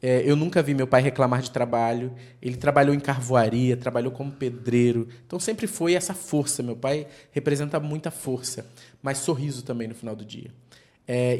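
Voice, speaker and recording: An earnest man talks through his thoughts at length as a podcast guest. His voice sits at an ordinary pitch, and he builds slow, heartfelt points around vivid images. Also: darkish tone. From Brazilian Northeast. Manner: earnest, speaks in extended metaphors. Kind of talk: reflective interview talk.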